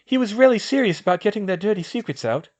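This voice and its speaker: This is a man reading a clever, determined teenage witch, decisive and organized. The voice sits high for a man and moves lively up and down. She sounds fast and sure of her plans. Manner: brisk and decisive. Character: sharp, determined teen witch, organized planner.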